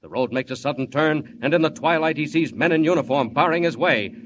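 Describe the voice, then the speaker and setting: A man's voice: fairly high pitch, fairly quick. Muffled, dramatic male radio serial narrator. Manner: dramatic, theatrical, declamatory. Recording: muffled, noisy old radio recording.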